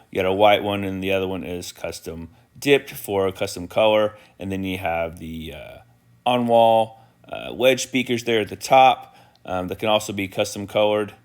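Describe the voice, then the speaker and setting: A man with a somewhat low voice narrates a product tour for viewers. His delivery is informal and approving, as he points out one feature after another.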